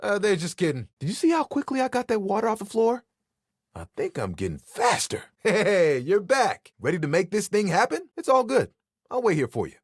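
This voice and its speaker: Flirty, easygoing living towel, animated delivery. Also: male voice, sits high, no room sound, clean studio sound, full character voice. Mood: friendly. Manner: flirty, laid-back, playful, heartfelt. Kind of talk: video game character acting.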